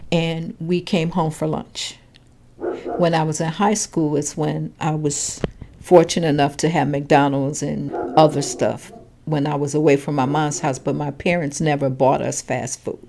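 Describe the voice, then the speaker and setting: An older woman with a low voice talks steadily, matter-of-fact about her past. She is being interviewed at home by her granddaughter. A faint hiss sits under the recording. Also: unhurried, creaky. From Chicago, Illinois. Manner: calm, matter-of-fact storytelling. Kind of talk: oral history interview.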